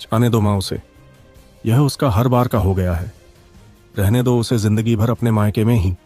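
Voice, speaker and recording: A man's voice: fairly low pitch, fairly even intonation, normal speed, mellow, faint music behind, close to an everyday voice. A man voicing a hot-tempered, deceitful young husband in a cartoon story. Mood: dismissive.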